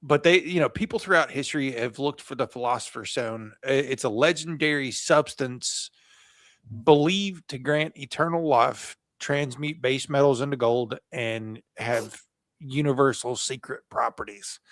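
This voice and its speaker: A man co-hosting a podcast who reads through his research notes and keeps dropping in offhand jokes. His voice sits at an ordinary pitch, and it is recorded close with a dry, roomless sound. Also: a little slow, a bit bright, no echo. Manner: jokey, reads notes aloud with asides. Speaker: podcast co-host. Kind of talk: casual chat reading from notes.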